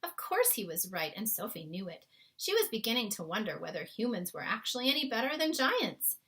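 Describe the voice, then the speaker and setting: A woman narrating a children's storybook aloud in an expressive read-aloud style. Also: mid-range voice, fairly quick. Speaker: storybook reader.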